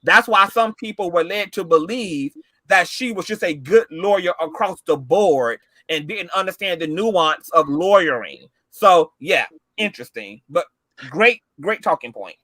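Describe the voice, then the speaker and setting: Sassy male talk host, late 30s, high bright voice, dry close sound. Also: lively intonation, a little slow, no echo. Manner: sassy, laughing banter with callers. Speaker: host of a call-in show. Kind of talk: casual chat.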